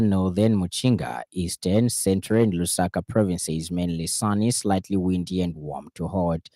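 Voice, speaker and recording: A man's voice: low-pitched, mellow, no room sound. A man with a Zambian accent reading a formal scripted news bulletin on the radio.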